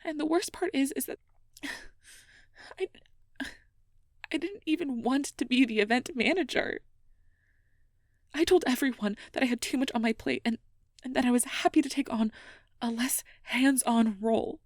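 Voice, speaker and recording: A woman's voice: high-pitched, dry recording. Woman acting a hesitant, stressed young female mage, close and dry. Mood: self-blaming, upset. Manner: hesitant, halting, breathy half-whispered confiding with sighs. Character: stressed, self-blaming young female air mage confiding in partner. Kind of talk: ASMR role-play, intimate personal-attention acting.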